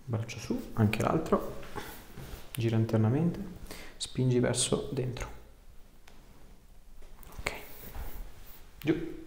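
A man's voice: medium pitch, expressive, slow pace, long pauses, a little mellow. A man, a chiropractor giving short, informal, reassuring directions to a patient as he works. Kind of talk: hands-on clinical instructions and casual chat with a patient. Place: small indoor treatment room. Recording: echoey room with noticeable background noise.

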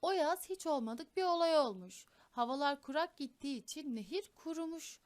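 A woman reading fairy tales to children in an expressive storyteller's style. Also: high-pitched, moderate pace. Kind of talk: expressive scripted fairy-tale narration for children.

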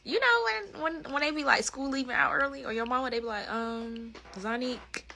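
A woman in her twenties with an American accent, chatting casually and playfully on a livestream in animated slang. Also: sits high, a little fast, a little hiss or hum. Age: 20s. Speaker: livestream host. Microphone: phone at close range.

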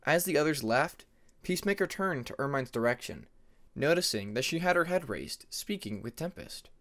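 A man's voice: on the high side, very animated, moderate pace, a bit bright. A man narrating a fantasy story as an amateur audiobook reader, in a dramatic scripted style.

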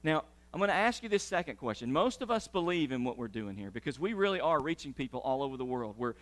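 A middle-aged pastor speaks to his congregation, moving about the stage as he talks. He is animated and uses lots of gestures, with a voice of middling pitch. He is recorded on a clip-on mic in a large church sanctuary. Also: fast talker. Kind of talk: sermon with church announcements.

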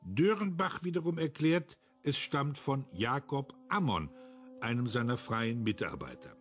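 An actor playing a self-assured, analytical investigator in a German radio crime drama, speaking in a formal, clipped manner. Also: male voice, medium pitch, sing-song, measured pace, fairly bright tone, soft background music. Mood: critical, precise.

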